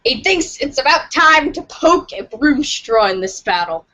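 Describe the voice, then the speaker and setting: A woman's voice: high-pitched, sing-song, unhurried, sharp tone. A woman reading a comic aloud theatrically, with a playful, dramatic delivery. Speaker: podcast reader of a webcomic.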